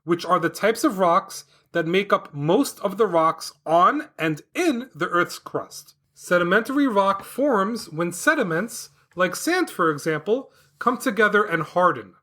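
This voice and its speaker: Lively, bright-voiced male kids' podcast host. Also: high-pitched, unhurried, hardly any pauses. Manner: enthusiastic, sing-song delivery. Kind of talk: upbeat educational explanation for kids.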